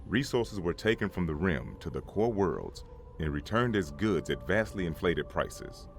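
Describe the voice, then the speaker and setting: A man's voice: deep voice, big pitch swings, a little slow, creaky, soft background music, heavily acted. A man playing a grave Jedi elder, solemnly narrating war history in a dramatic comic dub. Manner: solemn storytelling.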